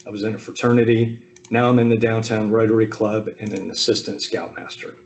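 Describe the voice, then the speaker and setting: Man, 50s, from the US South, slightly low voice, easygoing talk with ums over a video call, faint hum behind. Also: a little fast, vocal fry, poor audio. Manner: relaxed, with frequent ums and self-deprecating asides. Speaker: speaker at a Zoom talk. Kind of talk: personal family history talk.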